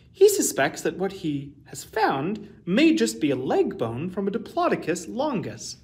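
Expressive, lively male storyteller. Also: sits high. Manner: expressive, carefully enunciated storytelling. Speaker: museum storytime host. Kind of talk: reading a children's picture book aloud. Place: small indoor room with shelves.

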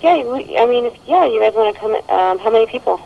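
A woman's voice: on the high side, expressive, fast, gravelly. A woman answering a business phone in a casual customer service style, heard over the telephone. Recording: dull, lo-fi telephone line.

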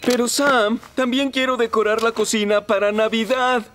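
A man's voice: very high voice, steady tone, moderate pace. A man voicing a cheerful, eager young firefighter in a Latin American Spanish cartoon dub, bouncy and jokey. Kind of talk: children's cartoon dubbing. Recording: loud music behind the voice.

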